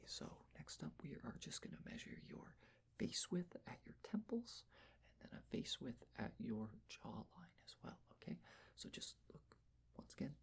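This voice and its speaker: Casting: ASMR performer, very breathy whisper with a lilting delivery, gentle and reassuring personal-attention roleplay. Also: mid-range voice. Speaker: ASMR performer playing a measurer taking face and body measurements.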